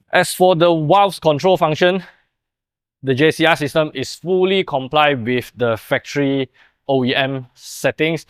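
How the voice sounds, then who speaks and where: A man's voice: medium pitch, smooth, no echo, clean studio sound. A young man explaining and demonstrating in the style of a car product showcase. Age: young adult. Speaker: presenter of a car workshop video.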